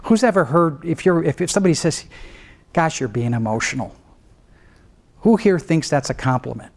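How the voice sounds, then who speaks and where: A middle-aged man, a trial lawyer, lecturing law students in an animated way, swinging between a low calm voice and sudden raised mimicry. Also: moderate pace. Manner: animated, mimics other lawyers with rising pitch, then drops low to show restraint.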